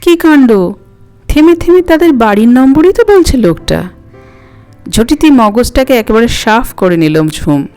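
A woman narrates fiction expressively for listeners. Her voice sits a little high and she takes her time over each phrase. Music plays softly behind her. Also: expressive, a little slow. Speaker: audio story channel host and narrator. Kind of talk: expressive audiobook story narration.

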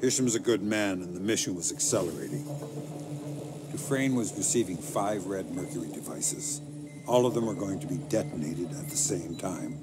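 An actor playing a hard, weary, sardonic middle-aged covert agent in a video game, speaking in a terse, dry way. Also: male voice, low-pitched, moderate pace, heavy background noise, natural voice. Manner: terse, dry, matter-of-fact. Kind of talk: video game cutscene acting, terse recounting. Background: loud dramatic music.

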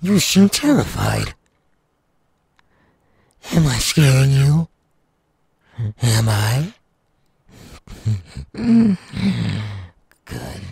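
A sadistic male demon villain for an audio roleplay. The voice is low and very raspy, and it takes its time with each taunt. He is menacing and hungry for human flesh. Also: a little mellow, no room sound, heavily acted. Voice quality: gravelly, raspy. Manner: slow, taunting, drawn-out delivery with wide swings. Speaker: voice actor.

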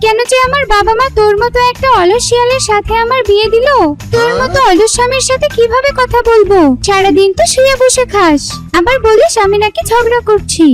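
Shrill, nagging cartoon vixen wife. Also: female voice, a little fast. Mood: scolding. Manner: nagging, sharp-tongued. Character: shrill, scolding, bossy wife fox. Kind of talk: children's fairy-tale cartoon voice acting. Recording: loud children's music under the voice.

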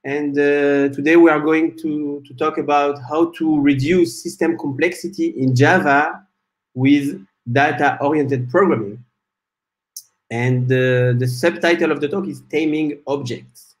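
A man in his forties from France, giving a talk on a video call with many "uh" pauses. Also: a little high, steady tone, poor audio. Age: 40s. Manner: measured, frequent "uh" fillers. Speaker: guest speaker, software developer. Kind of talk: conference talk presentation. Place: small room at home. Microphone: video call webcam.

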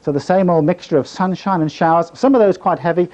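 A middle-aged man gives a TV weather forecast at a very quick clip. His voice is fairly high and soft-edged, on a dull old videotape recording. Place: TV weather studio. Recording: dull archive VHS sound.

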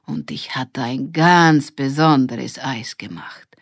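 Woman as slow, proud old Italian grandmother. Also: very deep voice, creaky, dry recording, clean studio sound, exaggerated voice. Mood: reminiscing. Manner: slow, drawn-out delivery.

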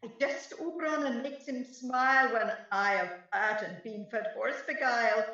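Woman doing gleeful naughty sprite, shakespeare radio play, high voice, roomy dull sound. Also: moderate pace, bright, forceful tone. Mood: gleeful, boastful. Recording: echoey distant dull home recording.

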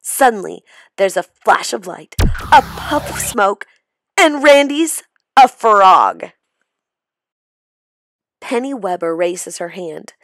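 A woman reading a children's story aloud in a theatrical, suspenseful, expressive way. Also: medium pitch, takes it slowly, pauses often, no room sound. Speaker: storybook reader. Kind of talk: dramatic children's read-aloud narration.

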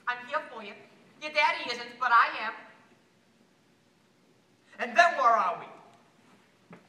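An actor playing an unhinged, bitter working-class American would-be assassin in a theatrical stage monologue, veering erratically between shouted rage and hushed pleading. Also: male voice, extremely high pitch, takes it slowly, exaggerated voice. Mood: childlike, bitter, then desperate, resolved. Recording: distant, echoey amateur stage recording, faint noise.

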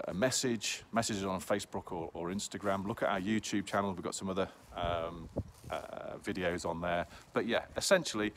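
A man's voice: fairly low pitch, slight background noise. A man, a hot tub dealer chatting casually to a phone held at arm's length outdoors, full of ums and okays. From England. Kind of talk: casual selfie sales vlog. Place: outdoors at a showroom yard in snow.

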